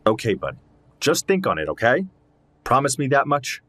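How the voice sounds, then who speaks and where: Male actor as fatherly friend, husky, mid-pitched, teasing yet concerned, audio drama dialogue. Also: wildly swooping pitch, moderate pace, fairly bright tone, no room sound.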